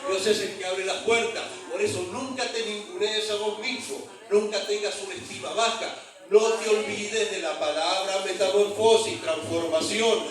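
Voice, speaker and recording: Argentine male pastor, high-pitched, preaching from afar in a very echoey church hall. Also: fairly even intonation, moderate pace, few pauses, far from the mic, a crowd in the background. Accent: Argentine Spanish. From Argentina (San Juan). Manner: storytelling with call-and-response to the congregation. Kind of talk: sermon.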